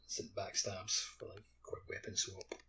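Low-voiced man in loose casual chat. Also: wildly swooping pitch, moderate pace, sharp tone, breathy edge. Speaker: podcast co-host. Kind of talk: casual chat about a video game.

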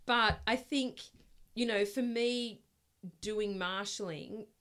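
A middle-aged woman with a loud, projecting voice, chatting in a podcast interview and telling lively stories with plenty of fillers. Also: medium pitch, slow pace. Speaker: guest, former swim club committee member.

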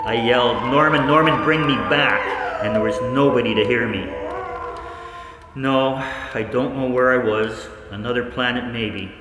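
A man acting a haunted scientist recounting cosmic horror, dramatically and deliberately, in a 1940s-style radio play. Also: medium pitch, lightly acted. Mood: anguished. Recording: echoey hall, long reverb, faint noise.

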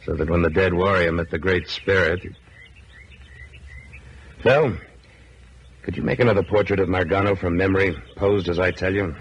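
An actor playing a tough, steady rancher hero who narrates a radio western in a terse, commanding manner. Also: male voice, low voice, moderate pace, pauses often, breathy edge, dull audio. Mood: calm, resolute. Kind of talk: 1950s radio western acting. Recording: vintage radio drama recording with music.

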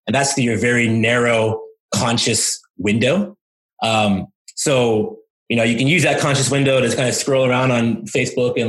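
A man speaking as an interview guest, explaining and telling anecdotes in an informal, animated way with acted-out asides. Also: fairly low pitch, poor audio. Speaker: interview guest, language coach.

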